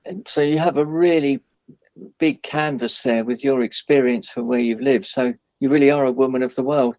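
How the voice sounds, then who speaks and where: A man hosting an interview, asking questions in a measured, encouraging way. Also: mid-range voice, steady tone, darkish tone, no room sound. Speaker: interviewer, outreach lead.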